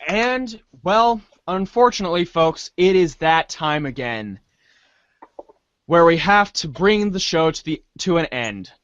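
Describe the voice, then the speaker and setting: Male narrator for a comic reader's theater, highish voice, mock-grand delivery, dry and close sound. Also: expressive, a little slow, a bit bright, clean tone, no echo, dull audio. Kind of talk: dramatic narration of a comic adventure.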